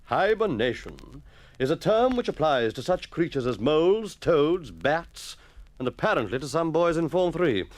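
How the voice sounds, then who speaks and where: A man's voice: fairly high pitch, very animated, moderate pace, no echo, voice pushed up. A man reading a story, voicing a stern, irritable schoolmaster who lectures pompously. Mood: exasperated. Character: stern, exasperated male schoolmaster.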